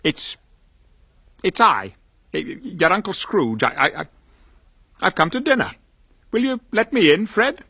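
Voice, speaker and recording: A man's voice: high-pitched, big pitch swings, measured pace, gravelly, long pauses, bright, forceful tone, husky, dry recording, studio quality, exaggerated voice. A man doing old Scrooge, jovial and stammering. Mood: nervous, stammering. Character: old male miser turned giddy and kindly.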